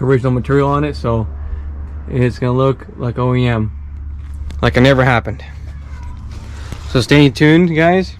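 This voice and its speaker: A man vlogging while rebuilding his car, his voice raspy from feeling unwell, chatty and encouraging with halting phrasing. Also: medium pitch. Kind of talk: casual talk while working on a car. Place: outdoors and in a garage by the car.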